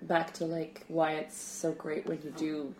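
A woman host with a low, mellow voice casually prompts her guest with questions. The room sounds echoey, with faint music behind. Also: completely flat delivery, takes it slowly. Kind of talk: casual interview.

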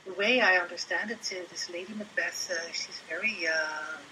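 A woman with a Dutch accent, a performance artist being interviewed, talking in a halting, reflective way and sometimes dropping into a whisper. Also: fairly low pitch, background noise. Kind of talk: casual interview chat.

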